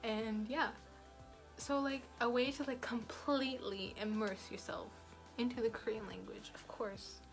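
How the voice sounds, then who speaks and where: A woman's voice: on the high side, steady tone, unhurried, creaky, soft background music. A young woman giving casual language-study advice in a rambling, chatty way, full of fillers. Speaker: language learning vlogger. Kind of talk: casual talking-head advice.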